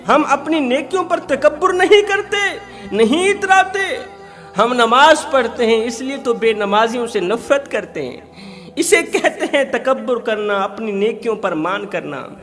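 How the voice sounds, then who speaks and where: A man delivers an emotional Islamic sermon in a high-pitched voice. His phrasing is slow, emphatic and repetitive, with a dramatic storyteller's weight. Long hall reverb surrounds the voice, with music playing underneath. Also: expressive, a bit bright. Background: background music.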